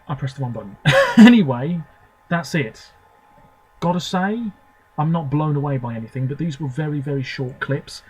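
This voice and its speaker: A young man talking to camera from home about songs he has just heard, his voice sitting at an ordinary middle pitch. He gives short, hesitant verdicts between clips, with a faint noise under the recording. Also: poor audio. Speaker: video host reviewing contest songs. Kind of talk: casual off-the-cuff commentary.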